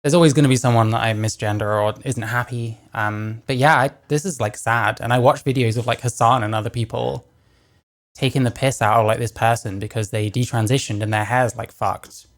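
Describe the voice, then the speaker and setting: A woman hosting a reaction video, chatting casually between clips and often trailing off into quiet asides. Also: rumbling low, fairly even intonation, a little fast. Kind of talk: casual commentary over clips.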